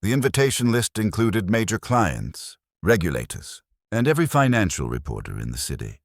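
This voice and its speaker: A man reading a scripted, dramatic first-person story in a measured, deliberate manner. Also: fairly low pitch, very animated, vocal fry, no room sound, studio quality. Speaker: story narrator.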